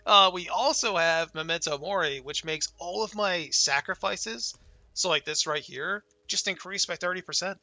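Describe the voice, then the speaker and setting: A young man casually talking through his game character, laid-back and excited, his voice worn and going hoarse from a cold. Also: sits high, normal speed, no echo. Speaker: gaming channel host. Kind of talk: casual gameplay commentary.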